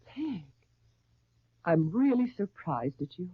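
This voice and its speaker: A woman's voice: lowish voice, sing-song, slow pace, breathy edge, no echo, heavily acted. An actress playing an earnest stepmother pleading with her stepdaughter in a 1930s radio soap. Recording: tinny 1939 radio broadcast recording.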